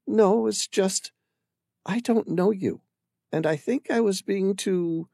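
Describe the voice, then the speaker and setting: Male narrator as a guarded, resolute woman alone abroad; raised pitch, slow, wary delivery. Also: creaky, dark tone, no echo, studio quality, full character voice. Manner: wary, quick to shut down.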